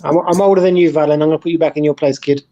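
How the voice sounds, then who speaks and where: A British man in his forties chatting casually on a group call, teasing the others with quick banter. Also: a little high, fairly even intonation, highs cut off, over a noisy crowd. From United Kingdom. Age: 40s. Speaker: podcast guest.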